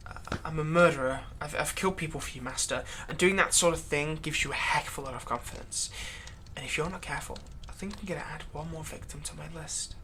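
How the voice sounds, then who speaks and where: A woman playing a long-serving, exasperated human servant of a vampire in a dramatic roleplay monologue, halting and sardonic. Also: deep voice, fairly bright tone, a little hiss or hum. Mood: wounded, menacing. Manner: conversational, halting, sardonic, emphatic when aggrieved. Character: long-serving human thrall, exasperated, pleading, turning menacing toward vampire master. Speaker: roleplay audio performer.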